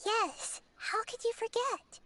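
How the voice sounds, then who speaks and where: A woman voicing a small, kind and wise young girl deity in a video game, speaking gently and thoughtfully. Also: extremely high pitch, takes it slowly, soft background music, heavily acted. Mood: curious, thoughtful. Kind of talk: video game dialogue acting.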